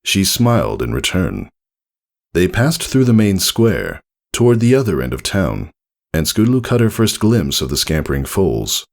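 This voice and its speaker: Male narrator, deep register, creaky edge, storybook reading, deliberate and expressive delivery. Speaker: narrator reading fan fiction.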